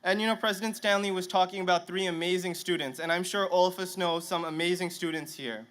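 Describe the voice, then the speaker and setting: A man's voice: high voice, steady tone, fast talker, fairly bright tone, clean tone. A young man whose first language is Punjabi, giving a nervous, self-joking student speech at an outdoor stadium ceremony into a podium microphone. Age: young adult. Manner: nervous, self-deprecating humor. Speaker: student commencement speaker. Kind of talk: commencement speech.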